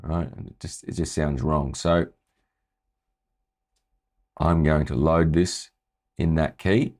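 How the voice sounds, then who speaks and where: A very deep-voiced man teaches music in a loose, chatty way. He talks through each step of a screen-share tutorial and keeps checking in with the viewer. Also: slow. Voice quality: low voice. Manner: chatty, relaxed, often checks in with "right?".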